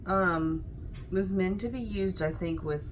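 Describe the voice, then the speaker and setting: A woman's voice: on the low side, a little slow, a little hiss or hum. A woman in her thirties chatting casually to camera in a small room, recovering from a cold so her voice is a little off, rambling with frequent ums. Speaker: crafter showing market stock.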